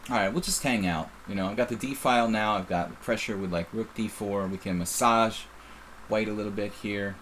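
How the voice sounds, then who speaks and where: Man, middle-aged, faint Philadelphia accent, lowish voice, casual rambling game commentary, slight background hiss. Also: brisk, fairly bright tone. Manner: casual, rambling, self-interrupting. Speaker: chess streamer. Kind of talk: live chess commentary.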